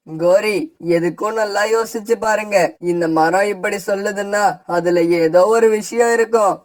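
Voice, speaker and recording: A man's voice: high voice, steady tone, moderate pace, lightly acted. A man voicing a friendly, agreeable male sparrow, polite and reasonable, in a children's cartoon fable. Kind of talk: cartoon voice acting.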